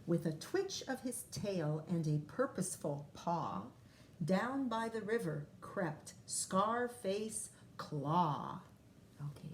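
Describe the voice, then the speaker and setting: A woman's voice: on the low side, a little hiss or hum. A woman first-grade teacher reading a picture book aloud to young children, expressively drawing out lines and adding chatty asides.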